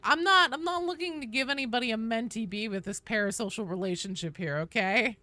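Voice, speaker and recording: A woman's voice: a little high, brisk, sharp tone, no echo. A woman hosting a casual game live stream, chatting playfully with viewers and breaking into sudden exclamations, with teasing, jokey banter. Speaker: video game streamer and host.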